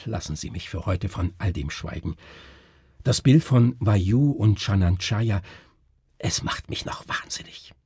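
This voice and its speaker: A man voicing a haunted, brooding doctor in a gothic story, husky, halting and intense. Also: lowish voice, expressive, darkish tone, no room sound, clean studio sound, exaggerated voice. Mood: horrified, shaken. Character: haunted, brooding male doctor recounting horrors.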